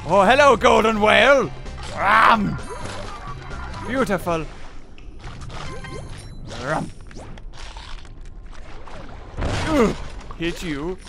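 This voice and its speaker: A young man giving excitable gameplay commentary, often shouting and laughing. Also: high-pitched. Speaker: gaming youtuber.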